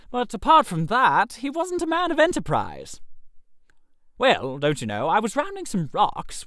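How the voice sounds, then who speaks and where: Very animated man narrating a comic story. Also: sits high, no room sound, studio quality. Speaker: narrator reading as a first-person character.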